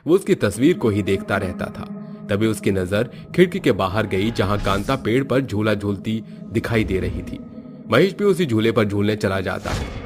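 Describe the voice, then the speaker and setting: A man's voice: fairly low pitch, lively intonation, moderate pace. Male horror storyteller over background music. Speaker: story narrator. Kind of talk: scripted horror story narration.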